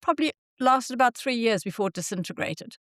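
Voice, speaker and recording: A woman's voice: on the high side, lively intonation, fairly quick, fairly bright tone, dry recording, clean studio sound. An older woman from Zimbabwe with a Zimbabwean accent, hosting a casual interview chat with enthusiasm and frequent laughter. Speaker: podcast host.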